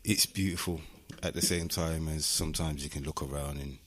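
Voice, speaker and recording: A man's voice: extremely low pitch, fairly even intonation, brisk, a little hiss or hum. A man from Birmingham with a Birmingham accent, talking casually and reflectively as an interview guest, with slangy phrasing. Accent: Birmingham English. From Birmingham, England. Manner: reflective, philosophical, uses slang and tag questions like "you know what I mean".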